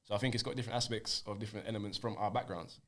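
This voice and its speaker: Fast-talking slangy man. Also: fairly low pitch, steady tone, fairly bright tone. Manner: fast, slangy, talks over others. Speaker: music group member, guest. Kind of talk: casual interview chat.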